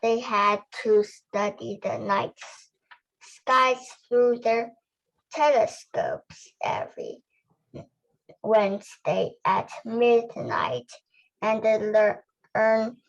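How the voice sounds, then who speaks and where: Slow, halting female student reader, muffled audio. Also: medium pitch, gravelly. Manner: halting, careful word-by-word reading. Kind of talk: reading a novel aloud.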